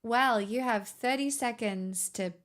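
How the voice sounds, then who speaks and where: A young woman in her twenties with a high voice runs a casual live stream. She banters with a joking, self-mocking tone. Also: slow. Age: 20s.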